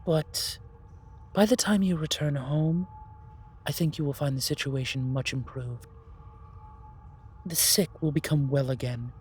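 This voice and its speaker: A man playing a calm, wise, otherworldly keeper of magic in a fantasy audio roleplay, speaking formally and ceremoniously. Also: a little high, unhurried, pauses often, slight background noise, natural voice. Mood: reassuring.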